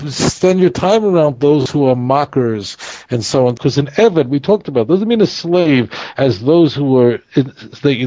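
A man whose first language is Hebrew teaches scripture in a lively, conversational way. He stumbles and restarts often as he talks. His voice is mellow and a little high, and the recording is dry with no room sound. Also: no room sound. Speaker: Torah teacher. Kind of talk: conversational Bible study.

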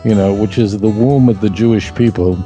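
Joking Brooklyn man, mellow voice. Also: on the low side, fairly even intonation, brisk, faint music behind. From Brooklyn, New York. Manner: joking, rambling with many restarts. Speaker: rabbi guest. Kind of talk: casual chat.

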